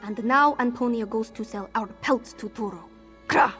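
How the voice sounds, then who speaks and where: Woman acting a sardonic, high-voiced cat-woman rogue. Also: moderate pace, a bit bright, faint music behind, lightly acted. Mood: bitter, urgent. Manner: theatrical, sardonic, speaks of herself in third person. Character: wry, proud cat-folk woman smuggler, stubbornly vengeful. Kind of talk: scripted fantasy game dialogue.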